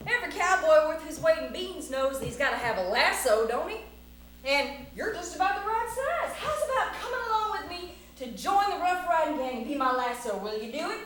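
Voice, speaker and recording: Plucky boastful cowboy acted by a woman, folksy Western drawl, bright and swooping, for a staged children's tall tale. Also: high-pitched, big pitch swings, a little fast, voice pushed up. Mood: coaxing. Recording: very echoey room, faint noise.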